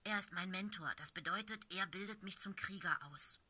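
A young apprentice tomcat in a storybook, read by a woman, matter-of-fact and plain. His voice stays close to the reader's own bright tone. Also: medium pitch, normal speed, husky. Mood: explaining. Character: young male apprentice warrior cat.

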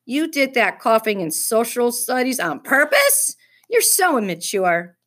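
A woman's voice: on the high side, very animated, a little slow, fairly bright tone, pitched above the performer's own voice, heavily acted. A woman voicing a snippy, stubborn schoolgirl. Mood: indignant. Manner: snippy, haughty. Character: stubborn, indignant fifth-grade girl. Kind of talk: children's storybook reading.

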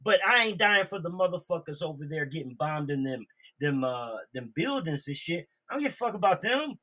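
A man's voice: a little high, sing-song, brisk, pushed and bright. A man talking bluntly and profanely in a casual, heated group discussion. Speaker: podcast discussion participant.

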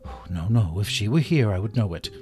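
A performer playing a gloating, scheming male villain doctor, theatrical and drawn-out in manner. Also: sits low, animated, over music. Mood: nervous, sinister. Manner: theatrical, drawn-out, falsely sweet.

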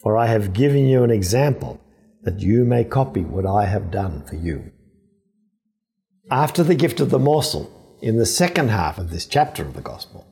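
An older man, a priest, giving a reflective scripture sermon in a deliberate, earnest way. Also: medium pitch, gravelly, dark tone.

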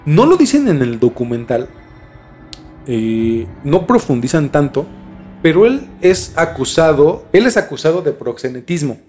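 A man's voice: on the high side, big pitch swings, a little slow, mellow, faint music behind. A man with a Mexican accent explaining things in casual conversation as a podcast co-host, informally and repeating words for emphasis.

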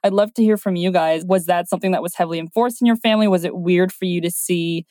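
A woman's voice: lowish voice, fast, dark tone, no echo, clean studio sound. Woman hosting a casual podcast. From China. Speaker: podcast host. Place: home, Toronto.

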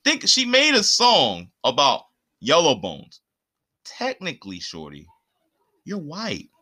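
An American man in a casual, opinionated podcast rant, speaking in an animated, indignant way with plenty of profanity and slang. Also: a little high, slow pace. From Puerto Rico and the eastern United States. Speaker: podcast host.

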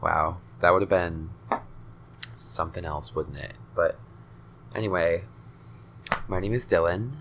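A man's voice: deep voice, measured pace, long pauses, fairly bright tone, a little hiss or hum. A nineteen-year-old man hosting his own podcast, rambling casually with lots of swearing and self-deprecating jokes. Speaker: podcast host.

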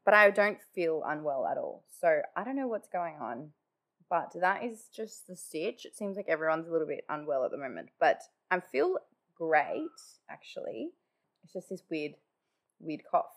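A woman's voice: deep voice, big pitch swings, normal speed. A woman hosting her own podcast in casual, chatty talk, with a nasally, congested voice after just waking up. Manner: chatty, many "like" fillers. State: just woken up. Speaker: podcast host. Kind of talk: casual solo podcast chat.

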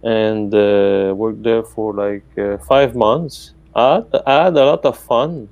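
Italian guy, low mellow voice, lots of uhs and restarts, chatting about research. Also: slow pace, vocal fry. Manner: hesitant, many fillers and restarts. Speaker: researcher guest. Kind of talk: casual academic conversation.

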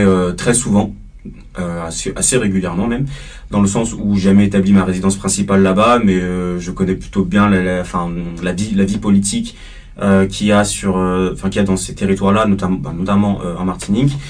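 A young man from the Paris suburbs talks hesitantly on a student panel. His voice is very low and a little husky. He sounds far from the microphone. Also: steady tone, brisk, a little mellow. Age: young adult. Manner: hesitant, frequent fillers. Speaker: history master's student, guest. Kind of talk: casual panel discussion.

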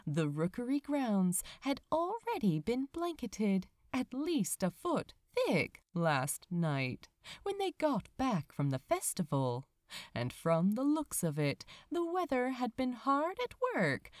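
Woman, storybook narrator, unhurried pace, very animated intonation, tender dramatic phrasing. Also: mid-range voice, dry recording, studio quality. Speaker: amateur audiobook narrator. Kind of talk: expressive scripted fiction narration, storybook reading.

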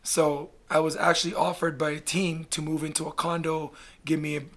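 A middle-aged man from Canada telling his story in a casual interview, blunt and animated, swearing freely. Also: a little high, fairly quick. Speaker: guest, basketball player.

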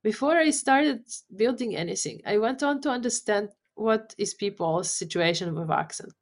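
A woman's voice: medium pitch, lively intonation, normal speed. Casual: polish accent woman, founder type, talks earnestly with lots of little repeats. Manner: earnest, repeats words while searching. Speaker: startup founder guest. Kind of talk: podcast interview.

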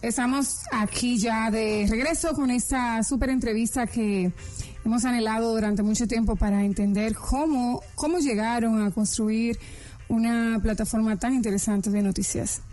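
A woman co-hosts with a mellow, somewhat high voice. She comments in a wordy, enthusiastic way over faint music. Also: fairly even intonation, normal speed. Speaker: co-host. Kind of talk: radio interview.

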